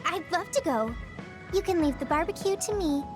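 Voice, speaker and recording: A woman's voice: very high voice, animated, normal speed. A woman playing a cheerful, earnest young fire-wielding teammate in an anime game dub. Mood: bright, excited. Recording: background music under the voice.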